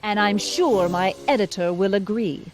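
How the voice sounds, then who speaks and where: A woman's voice: mid-range voice, normal speed, slight background noise, lightly acted. An actress playing a prim, priggish female small-town reporter in a video game, formal and haughty in manner. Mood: scornful. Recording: music under the voice.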